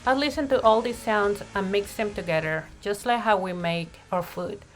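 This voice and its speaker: A woman narrating a children's picture book in an expressive read-aloud style, with deliberate phrasing and emphasized words. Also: medium pitch, rough recording. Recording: music playing behind the voice. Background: music.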